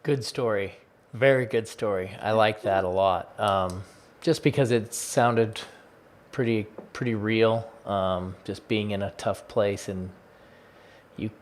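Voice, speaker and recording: Guy hosting a podcast, lowish voice, encouraging, faint hiss behind. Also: takes it slowly. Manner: encouraging, repeats himself. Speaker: podcast host. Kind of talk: interview podcast.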